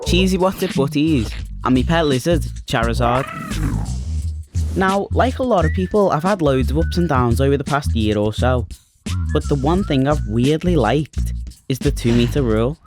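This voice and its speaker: A man in his twenties speaks with a Liverpool accent, his voice sitting a little high for a man. He narrates in a chatty, self-deprecating, wry way. Clear music plays underneath his narration. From Liverpool, England. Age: 20s. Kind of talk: scripted narration for an animated short.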